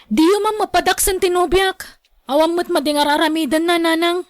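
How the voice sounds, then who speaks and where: A woman's voice: extremely high pitch, steady tone, brisk, dry recording, clean studio sound. A woman acting in a radio drama as a gossipy younger woman, chatty and complaining, with a Filipino accent. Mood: complaining, tattling. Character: gossipy younger woman tattling to her mother.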